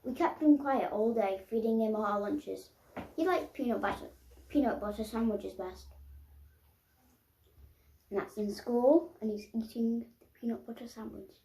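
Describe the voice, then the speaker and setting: A woman reading a children's picture book aloud expressively, pausing to explain the pictures. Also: a little high, dark tone. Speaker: storybook reader. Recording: roomy, echoing sound.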